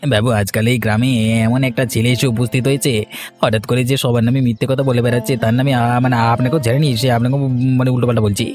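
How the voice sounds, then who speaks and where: Man as cunning village troublemaker for cartoon drama; insinuating, self-righteous, mid-pitched over faint music. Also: flat delivery, fast, darkish tone, close to an everyday voice. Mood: insinuating, indignant. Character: sly, scheming village man stirring up quarrels. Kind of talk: dramatic cartoon story dialogue.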